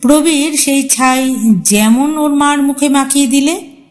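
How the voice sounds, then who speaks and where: A woman's voice: high-pitched, steady tone, measured pace. A woman storyteller giving a dramatic scripted narration of a horror tale.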